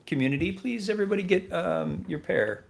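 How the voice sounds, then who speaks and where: A man co-hosting a casual online music radio chat, upbeat and often pausing with "uh" and "um". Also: high voice, very animated, creaky. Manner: upbeat, hesitant with "uh" and "um". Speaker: co-host.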